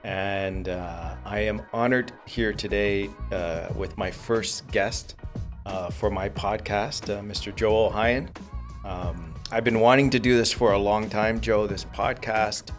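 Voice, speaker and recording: A man hosting a business podcast, introducing his guest in an earnest, measured way with frequent fillers. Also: fairly low pitch, steady tone, faint music behind. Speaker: podcast host. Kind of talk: interview hosting.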